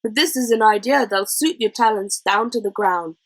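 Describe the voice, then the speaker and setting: A woman's voice: medium pitch, moderate pace, no room sound, close to an everyday voice. A woman voicing an eager, silver-tongued male pirate chief for a storybook, with wheedling salesman patter. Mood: persuasive.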